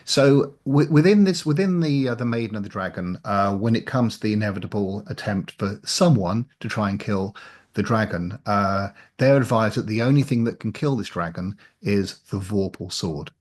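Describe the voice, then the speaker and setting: A man with a fairly low voice chats casually as a guest on a show. His delivery is halting, moving forward in stops and starts. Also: expressive, a little mellow. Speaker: interview guest, audio drama writer. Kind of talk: casual chat.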